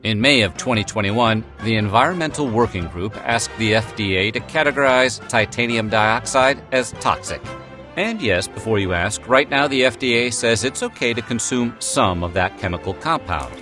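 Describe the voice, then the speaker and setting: A male narrator reads a playful script over clear background music. His pitch swings in a lively way, and he drops in wry, joking asides. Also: moderate pace. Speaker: narrator of a food history video. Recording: music bed behind the voice.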